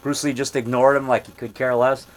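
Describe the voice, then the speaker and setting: A man in his fifties telling a rambling story in a loud voice, repeating himself and getting worked up as he goes. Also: medium pitch, little pitch movement, a little fast. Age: 50s. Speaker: monster movie maker telling a story. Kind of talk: casual storytelling monologue.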